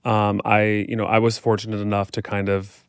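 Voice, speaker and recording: An American man with a smooth, clear voice on the low side, recorded dry and close. He speaks reflectively as a podcast guest, in a casual, candid way with plenty of "like" and "you know.". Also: little pitch movement, brisk, no room sound. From United States, Kansas City area. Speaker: podcast guest, performer and fitness instructor. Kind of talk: reflective, candid interview conversation.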